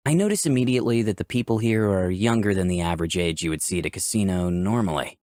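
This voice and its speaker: A man's voice: on the low side, dry recording, clean studio sound, lightly acted. A man reading as a flirty, cocky personification of Lust, narrating a visual novel in a musing, theatrical way. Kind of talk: first-person visual novel read aloud.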